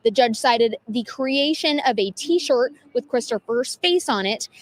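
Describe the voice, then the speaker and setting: A woman's voice: a little high, animated, measured pace. An American woman delivering a scripted television news report as a field reporter.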